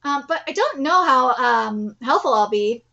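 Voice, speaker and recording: Woman reading an easygoing, high-voiced young guy. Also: expressive, a little slow, gravelly, pushed and bright, highs cut off, full character voice. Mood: puzzled, agreeable. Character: easygoing, unsuspecting ordinary young man.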